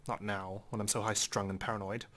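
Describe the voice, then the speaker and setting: A man's voice: fairly low pitch, flat delivery, brisk, fairly bright tone. A man reading visual novel text aloud as a let's player, with occasional brief commentary.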